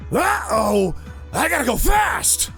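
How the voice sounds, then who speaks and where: A man's voice: very high-pitched, very animated, takes it slowly, creaky, pushed and bright, faint music behind, full character voice. A man voicing a gloating, scheming mad scientist with a smug, theatrical manner in a fan audio drama. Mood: alarmed.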